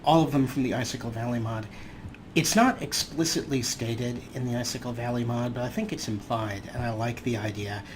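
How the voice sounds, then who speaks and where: A man's voice: mid-range voice, fairly even intonation, brisk, slight background noise. A man hosting a let's play, explaining game mods with dry, wry humor in casual commentary.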